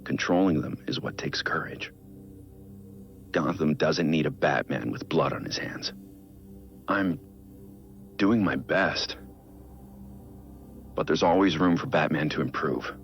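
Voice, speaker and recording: An actor playing a weary, brooding male vigilante billionaire in a video game, speaking an introspective, measured dramatic monologue as a private audio log. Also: a bass voice, vocal fry, muffled recording, faint music behind, heavily acted. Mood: earnest, determined.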